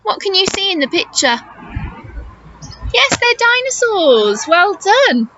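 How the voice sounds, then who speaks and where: A woman teacher leads a children's storytime in a high, very animated voice. She speaks slowly and encouragingly, with exaggerated intonation for little ones. The recording is slightly muffled and noisy. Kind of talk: children's storytime read-aloud with questions and explanations.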